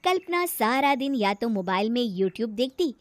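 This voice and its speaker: A woman's voice: on the high side, expressive, moderate pace. A woman narrating a scripted moral story as the storyteller.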